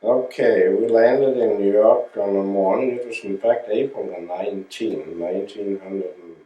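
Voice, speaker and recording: A man's voice: deep voice, dark tone, echoey room, far from the mic, low-quality recording. An older man from Denmark recalling his life in a home oral history interview, telling it plainly and correcting himself as he goes. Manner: slow, plain storytelling with restarts and self-corrections.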